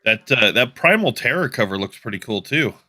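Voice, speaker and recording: A man's voice: mid-range voice, big pitch swings, fairly quick, pushed and bright, no room sound, studio quality. A man on a podcast panel, chatting casually as a game developer. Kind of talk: casual livestream chat.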